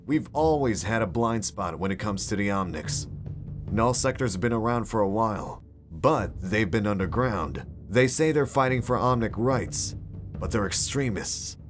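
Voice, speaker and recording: Low male voice, cynical guarded commander, dry and dismissive, acted by a man, faint music. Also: lively intonation, normal speed, natural voice. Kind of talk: dramatic comic dub acting.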